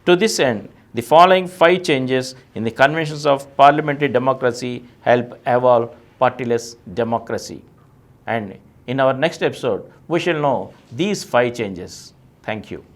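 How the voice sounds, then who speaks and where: A man's voice: medium pitch, normal speed. A man with an Indian accent reading a scripted political essay as narrator, formal and emphatic at the starts of sentences. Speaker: reader, narrator.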